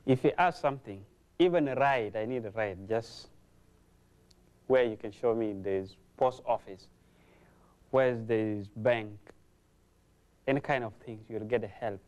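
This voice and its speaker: A man's voice: fairly low pitch, measured pace, long pauses, a little mellow. A young man, a refugee, speaking earnestly in an interview with non-native phrasing.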